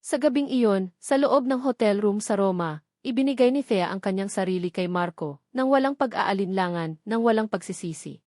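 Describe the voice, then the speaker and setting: A woman's voice: medium pitch, expressive, normal speed, darkish tone, dry recording, clean studio sound. A woman with a Filipino accent reads a scripted romance story as its narrator, in a dramatic storytelling manner.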